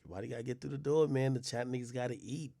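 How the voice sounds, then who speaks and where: A man's voice: mid-range voice, very fast, gravelly, warm tone, no echo, clean studio sound. A man live-streaming, chatting loosely and profanely straight to his viewers. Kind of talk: casual live-stream chat.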